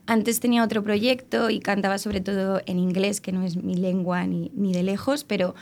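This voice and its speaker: A woman's voice: medium pitch, flat delivery, moderate pace, a little mellow. A young woman from Alicante, a musician being interviewed, talking in a chatty, rambling way with many fillers and restarts. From Spain, Alicante. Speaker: musician guest. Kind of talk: casual interview chat.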